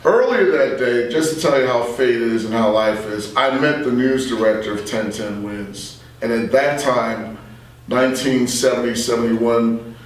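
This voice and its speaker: A man's voice: mid-range voice, unhurried. Older man reminiscing in a very echoey room. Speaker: interview guest, radio veteran. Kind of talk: conversational reminiscing. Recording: very echoey room, distant microphone.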